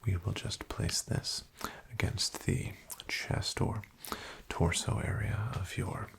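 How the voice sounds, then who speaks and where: A man whispering softly as a polite, hesitant doctor in an ASMR roleplay, with a wry bedside manner. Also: fairly low pitch, steady tone, slow pace. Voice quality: whispered, gravelly. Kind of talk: soft-spoken ASMR roleplay.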